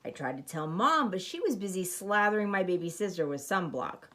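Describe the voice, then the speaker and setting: A woman storyteller reading a children's picture book aloud with expressive, emphatic phrasing. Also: fairly low pitch, fairly quick.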